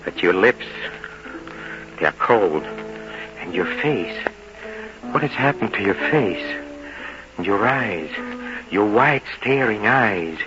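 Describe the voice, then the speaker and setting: An actor playing a tipsy, jealous, brooding man bent on revenge in an old-time radio drama, speaking in halting, repetitive, theatrical phrases. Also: on the low side, expressive. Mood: aching reproach. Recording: dull old radio recording, music behind.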